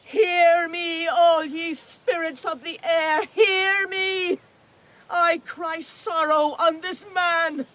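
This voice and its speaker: High-voiced, vengeful old witch. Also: steady tone, extremely slow, fairly bright tone, heavily acted. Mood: impassioned cursing. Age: older. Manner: incantatory, solemn declaiming. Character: grief-stricken, vengeful old forest witch cursing a man. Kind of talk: radio drama acting.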